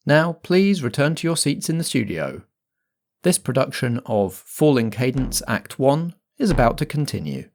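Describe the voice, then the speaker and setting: A man talks as a podcast host, giving announcements in a conversational style. His voice has a medium pitch for a man. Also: big pitch swings, normal speed.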